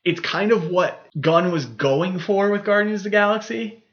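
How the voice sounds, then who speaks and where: Male podcast co-host, lightly high-pitched, enthusiastic and rambling in casual film chat, muffled lo-fi sound. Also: normal speed, a bit bright. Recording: dull, muffled lo-fi audio.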